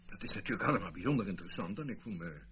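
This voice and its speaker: An actor playing a puzzled, sceptical man being briefed, terse and politely questioning, with a rough, husky voice in a Dutch radio play. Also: low-pitched, animated, rapid-fire, fairly bright tone, a little hiss or hum. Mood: politely doubtful.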